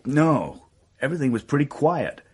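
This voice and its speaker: An actor playing a hot-tempered, defiant murder suspect in a radio mystery, blunt and defensive. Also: male voice, a little high, big pitch swings, moderate pace, breathy edge. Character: defiant, hot-tempered male actor under police suspicion. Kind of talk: radio mystery drama acting.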